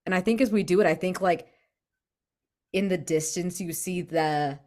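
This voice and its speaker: A woman with a low-pitched voice takes part in a tabletop roleplaying game. She describes things casually and informally, thinking aloud as she goes. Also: normal speed, darkish tone.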